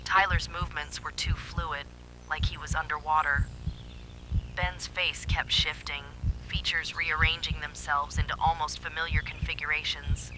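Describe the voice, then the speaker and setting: Very bright, tense male horror narrator. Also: a little high, level tone, moderate pace, poor audio. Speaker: first-person narrator. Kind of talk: scripted horror story narration. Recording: faint background hum and noise.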